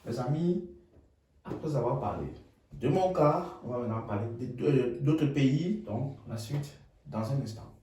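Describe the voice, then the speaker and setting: A man from Cameroon teaching German in French, repeating phrases slowly and adding checking tags like "hmm?". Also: medium pitch, lively intonation, mellow, very echoey, distant mic. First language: Ngamba. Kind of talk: bilingual language lesson.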